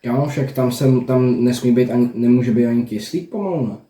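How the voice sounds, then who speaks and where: A man's voice: medium pitch, warm tone. A young man chatting casually as a reaction host, joking and swearing in slang. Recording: roomy echo, faint noise and clicks.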